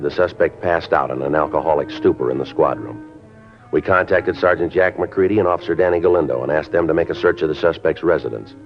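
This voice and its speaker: Casting: terse American homicide cop, extremely low voice, fast flat delivery, vintage radio drama. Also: male voice. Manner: deadpan, clipped, matter-of-fact. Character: stoic, businesslike male police homicide detective. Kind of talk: 1950s radio crime drama narration and dialogue. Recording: dull old radio recording with music.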